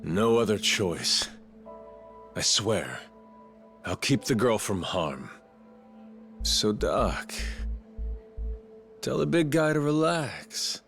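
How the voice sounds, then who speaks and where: An actor playing a brash, rough-spoken yakuza man in a game dub. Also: fairly low pitch, big pitch swings, very slow, long pauses, fairly bright tone, soft background music, heavily acted. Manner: brusque, rough-edged. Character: tough, brash yakuza man with a protective streak.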